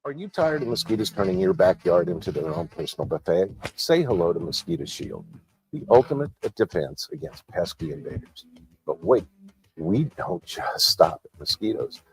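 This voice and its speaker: A man's voice: deep voice, big pitch swings, moderate pace, low-quality recording. A man reading a scripted commercial in an upbeat, salesy way. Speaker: ad announcer.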